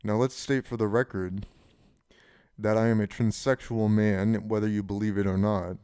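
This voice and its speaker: Deep, smooth-voiced young man rambling casually. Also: flat delivery, normal speed, dark tone, dry recording, clean studio sound. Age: 20s. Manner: casual, frank, swearing. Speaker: channel host. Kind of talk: rambling personal monologue.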